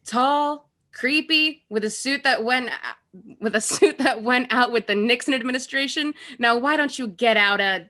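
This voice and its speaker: Sassy young woman for a comedic screenplay reading, acted by a woman, high-pitched, forward tone, emphatic. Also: moderate pace, bright, forceful tone. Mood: sarcastic, wary. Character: sassy guarded young shop woman, Hildy.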